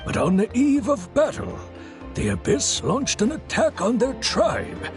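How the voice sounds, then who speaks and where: Earnest high-voiced young man, animated intonation. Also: measured pace, over music, full character voice. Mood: somber, explanatory. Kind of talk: scripted game dialogue, storytelling.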